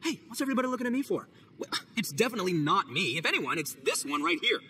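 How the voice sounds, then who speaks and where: A man voicing a rowdy, brash young gang boss in a game, loud and slangy. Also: high-pitched, big pitch swings, fast, slight background noise, heavily acted. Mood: defensive. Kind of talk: video game cutscene voice acting.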